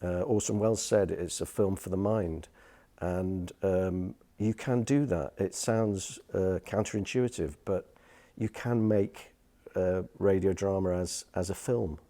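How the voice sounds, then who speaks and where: A man's voice: low-pitched, fairly even intonation, a little slow, mellow. A man working as a radio drama producer, giving casual advice in an interview in a hesitant, thoughtful way.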